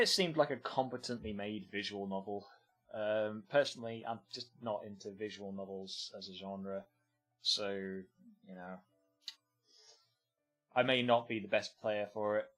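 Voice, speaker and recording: A man's voice: on the low side, unhurried, pauses often, a bit bright, faint music behind. A man streaming and commenting casually on games, with offhand, meandering remarks. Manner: offhand, meandering, muttering asides.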